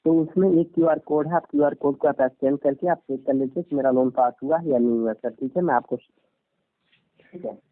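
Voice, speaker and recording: A man's voice: fairly high pitch, moderate pace. Pushy guy doing loan agent talk over a thin phone line, keeps repeating himself and butting in, very sir-this sir-that. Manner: insistent, repetitive, interrupting, polite sir-heavy address. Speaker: caller presenting himself as finance company loan agent. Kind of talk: persuasive phone sales talk. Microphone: telephone. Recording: tinny narrowband phone call recording.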